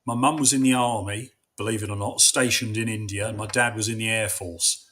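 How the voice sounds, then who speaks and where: A man's voice: lowish voice, steady tone, a little fast, fairly bright tone, a little hiss or hum. A man from England, a guest on a casual video call, telling stories and stumbling on his starts.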